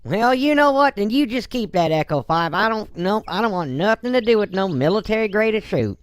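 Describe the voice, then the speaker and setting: A man's voice: sits high, expressive, smooth, no room sound. A man voicing a folksy, blunt but courteous businessman and father in a game roleplay. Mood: blunt, surprised. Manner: folksy, blunt, drawn-out hesitations. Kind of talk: Minecraft roleplay voice acting.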